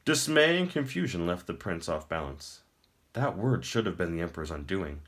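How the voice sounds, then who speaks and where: Low-voiced man narrating a fantasy novel. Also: big pitch swings, normal speed, a bit bright. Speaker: audiobook reader.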